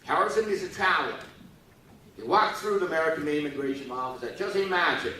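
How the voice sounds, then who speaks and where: A man's voice: fairly high pitch, animated, a little fast, pushed and bright, a little hiss or hum. A middle-aged man giving a joking speech to a live audience at a youth forum, playfully teasing the crowd and prodding them to respond. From Taiwan. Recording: echoey hall, voice from far off.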